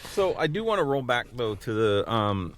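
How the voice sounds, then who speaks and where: A man co-hosting a casual interview, halting and repeating words as he works toward his points. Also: mid-range voice, sing-song. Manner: halting, repeats words while forming questions. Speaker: podcast co-host, voice actor.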